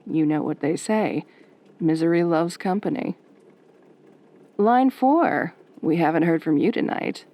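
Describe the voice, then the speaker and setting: Casting: woman to play sultry night-radio host; gentle, somewhat low, dry teasing wit. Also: a little slow, long pauses, dull audio. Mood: drily patient. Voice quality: soft, velvety. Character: velvety, wry, flirtatious female late-night radio DJ.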